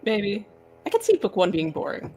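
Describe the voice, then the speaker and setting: A woman's voice: mid-range voice, wildly swooping pitch, normal speed, dark tone. A woman co-hosting a casual book discussion livestream in a self-deprecating, conversational way. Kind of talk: casual chat about books.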